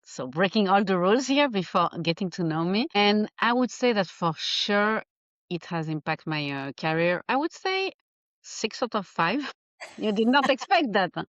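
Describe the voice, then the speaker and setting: A woman from Geneva with a Swiss French accent, a life coach chatting in an interview and often pausing to find her words. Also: mid-range voice, no echo, muffled recording. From Geneva, Switzerland. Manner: animated, laughs often, searches for words. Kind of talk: casual interview chat.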